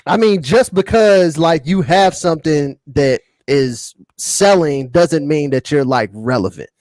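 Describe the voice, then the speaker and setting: A man's voice: on the high side, measured pace, a little mellow, no room sound. A man co-hosting a casual panel chat, talking in a slangy, argumentative way. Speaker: podcast co-host.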